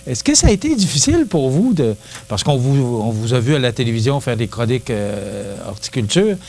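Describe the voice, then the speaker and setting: A man hosting a local TV interview, talking in a halting way with many restarts. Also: medium pitch, big pitch swings, creaky, a little mellow. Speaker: TV host.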